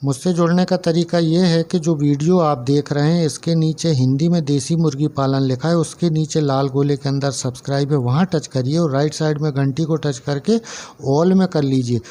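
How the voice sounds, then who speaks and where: A man with a mellow, somewhat high voice talks straight to his viewers about raising chickens. He explains step by step in an earnest, plain way and often runs through lists one item at a time. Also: steady tone, normal speed. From central India. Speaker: poultry farmer and channel host. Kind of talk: casual instructional talk to camera.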